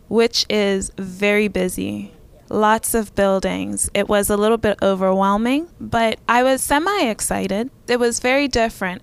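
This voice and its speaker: A young woman from Zambia shares personal memories in a conversational way. Her voice is smooth and clean, often dropping into a creaky fry. She speaks as an interviewee recounting her own life. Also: medium pitch. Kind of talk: personal storytelling, casual reminiscence.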